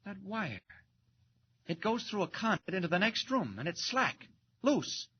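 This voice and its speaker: Jumpy newspaper reporter, high and bouncy voice, dull old radio sound w/ hiss. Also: male voice, very animated, unhurried. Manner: hesitant and flustered as the reporter. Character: mild-mannered male reporter, secretly a hero. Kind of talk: 1940s radio acting.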